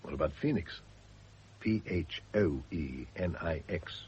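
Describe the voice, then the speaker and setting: A very deep male voice as a serene, bookish man in a vintage radio play. He is earnest and deliberate, with lively rises and falls. The recording is dull and slightly noisy. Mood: dry, helpful. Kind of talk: 1970s British radio drama acting.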